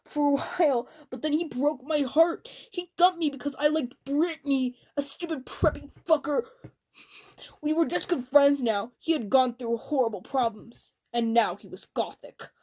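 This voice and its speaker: A woman's voice: high voice, normal speed, dark tone. A woman giving a theatrical, giggly, mock-dramatic reading of fan fiction with amused asides. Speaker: reader.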